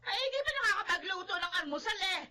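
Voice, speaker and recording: An actress playing an exasperated, nagging aunt in a radio drama, shrill and scolding. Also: female voice, expressive, fast, very noisy. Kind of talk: Filipino radio drama acting.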